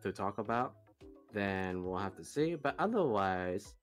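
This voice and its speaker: A man's voice: on the low side, sing-song, unhurried, faint music behind. A man in his thirties chatting casually as a guest on a stream, teasing the others and rambling with lots of fillers and mock exasperation. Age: 30s. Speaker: guest interviewer on a live stream. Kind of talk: casual chat over a voice call.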